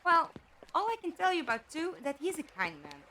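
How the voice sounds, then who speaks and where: A woman acting in an audio drama as a practical, inquisitive captive who talks in a direct, conversational, gently wry way. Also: high-pitched, huge pitch swings, fairly quick, a little hiss or hum, exaggerated voice, poor audio.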